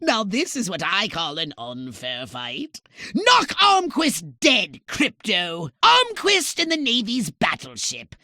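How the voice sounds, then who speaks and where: A man voicing a haughty, scheming little alien commander in a video game, with a shrill nasal voice and pompous, sardonic, wordy delivery. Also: huge pitch swings, slow pace, bright, forceful tone, no echo, clean studio sound, heavily acted. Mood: smug, calculating. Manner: pompous, verbose, sardonic, exclaiming dramatically. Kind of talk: theatrical video game voice acting. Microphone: close, dry studio microphone.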